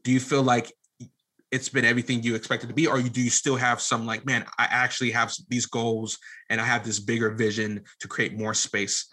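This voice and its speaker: A man speaking as a podcast guest in casual conversation, haltingly repeating words as he works out his questions. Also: mid-range voice, flat delivery, pushed and bright.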